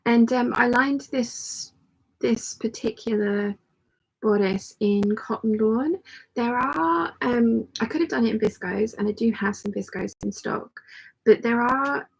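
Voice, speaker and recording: Croaky, crackly-voiced woman chatting. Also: on the high side, a little slow. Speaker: host of a live sewing hangout. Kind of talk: casual livestream chat, reading out viewer comments.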